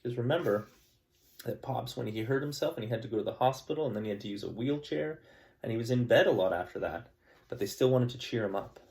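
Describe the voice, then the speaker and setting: Gentle male teacher reading aloud. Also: mid-range voice, fast, creaky. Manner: gentle, calm storytelling with chatty asides to kids. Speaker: elementary school teacher. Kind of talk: reading a picture book aloud to students.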